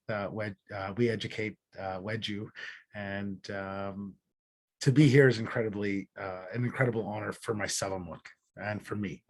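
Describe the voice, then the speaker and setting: A middle-aged man gives a personal talk as a guest speaker, in a voice of average pitch. He tells his story earnestly and deliberately, setting off key phrases with dramatic pauses. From born in Israel, grew up in Alberta, Canada.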